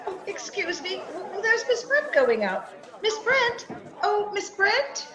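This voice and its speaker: Lady doing a gushy older mom party hostess in a radio play, really high fluttery voice that swoops around. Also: very animated, takes it slowly, fairly bright tone, a faint crowd, heavily acted. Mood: fussy, sociable. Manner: fluttery, effusive. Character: gushing, sociable older mother hosting a party. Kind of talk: old-time radio comedy-drama acting. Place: actor's home, recorded over video call. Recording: echoey, muffled video call with voices behind.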